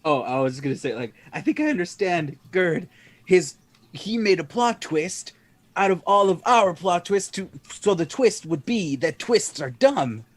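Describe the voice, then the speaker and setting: A man with a husky voice chatting as a player in an improvised comic tabletop game. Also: high voice, animated, measured pace. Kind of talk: improvised comic role-play chat.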